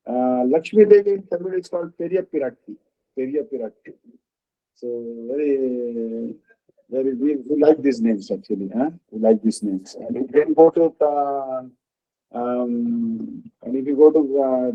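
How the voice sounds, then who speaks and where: A man giving a devotional discourse as a spiritual teacher, halting often and checking in with the listeners. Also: mid-range voice. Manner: halting, with frequent "uh" and questioning "huh?". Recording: dull lo-fi recording.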